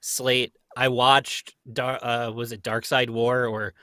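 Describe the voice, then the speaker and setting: A man's voice: mid-range voice, level tone, slow pace, bright, forceful tone, no room sound, studio quality. A young man chatting casually as a fan, opinionated and digressive, often dropping in "like". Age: young adult. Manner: opinionated, digressive, frequent use of "like".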